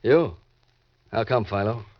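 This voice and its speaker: Low-voiced hard-boiled American ex-convict. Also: male voice, takes it slowly. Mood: wry, guarded. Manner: wry, laconic, terse. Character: hard-boiled ex-convict war veteran posing as missing heir. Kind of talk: old-time radio crime drama acting and narration. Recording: dull vintage radio recording, faint music.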